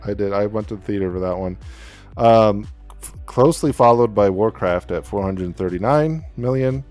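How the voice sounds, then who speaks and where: Man, deep voice, relaxed podcast host, chuckles, faint music underneath. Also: moderate pace. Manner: relaxed, chuckles often, reads figures off notes. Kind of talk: casual podcast chat.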